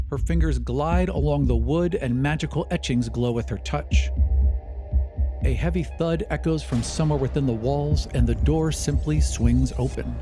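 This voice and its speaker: Guy game master reading out fantasy scenes, medium pitch, clear music behind. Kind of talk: scripted fantasy narration, tabletop game.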